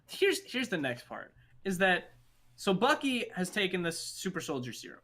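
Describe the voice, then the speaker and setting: A young man chatting casually in a group, with an animated, emphatic and slangy way of talking. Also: sits high, moderate pace. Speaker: podcast host.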